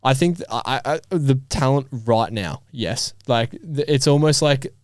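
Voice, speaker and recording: An Australian man in his twenties in casual podcast conversation, informal and a little halting as he thinks aloud. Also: mid-range voice, lively intonation, no echo, studio quality. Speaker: podcast host and elite athlete.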